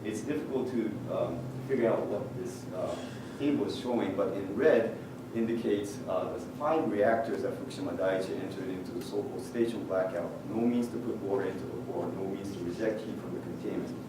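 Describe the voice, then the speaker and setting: A middle-aged man with a Japanese accent gives a technical conference presentation in a low voice, pausing often on "uh" and slipping in dry jokes. He sounds far from the microphone, with a heavy echo from the large hall. Steady noise runs under his voice. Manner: measured, technical, many "uh" pauses, dry humor. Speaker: conference speaker. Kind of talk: presentation talk with slides.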